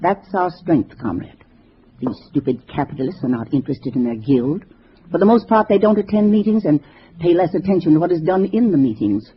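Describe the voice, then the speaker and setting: A woman's voice: low-pitched, big pitch swings, brisk. Gray-haired female party boss: didactic, assured, scheming, older, on a dull vintage radio drama recording. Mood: calculating, instructive. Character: gray-haired female communist organizer, sweet-faced, calculating, commanding. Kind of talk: 1950s radio drama acting. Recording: muffled vintage radio recording, faint hiss.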